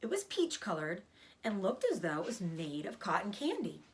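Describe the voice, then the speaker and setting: A woman narrating a children's storybook aloud with expressive, careful phrasing. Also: mid-range voice, normal speed. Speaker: read-aloud reader, teacher.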